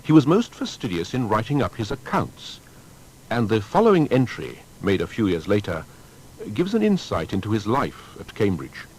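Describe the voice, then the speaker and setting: A man narrating a scripted documentary biography in a formal, measured storytelling manner. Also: lowish voice, wildly swooping pitch, darkish tone, a little hiss or hum, rough recording.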